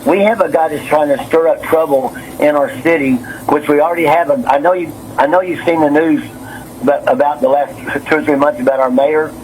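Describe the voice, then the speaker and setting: Nervous male caller, somewhat high-pitched, wordy and stumbling, on a faintly noisy phone call. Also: fairly even intonation, brisk, darkish tone. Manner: agitated, repetitive, stumbling over words. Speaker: city councilman and business owner on a phone call. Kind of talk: rambling phone conversation. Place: on a telephone.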